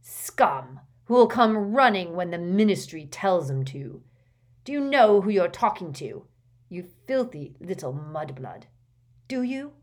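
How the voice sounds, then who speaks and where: A woman's voice: lowish voice, lively intonation, takes it slowly, exaggerated voice. A furious, snobbish middle-aged wizard father played by a woman from a storybook. He bellows and jeers with open contempt, spitting out his words as a domineering bully. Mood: outraged. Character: raging, sneering middle-aged pure-blood wizard father. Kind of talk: dramatised audiobook character voice.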